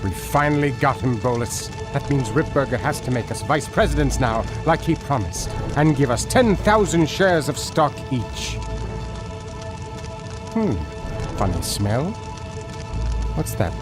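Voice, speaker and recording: A man plays a dim, greedy game sidekick. His mid-pitched voice swoops up and down at a slow pace, with music underneath. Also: lively intonation, close to an everyday voice. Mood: puzzled. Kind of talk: video game voice acting. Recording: music behind dialogue.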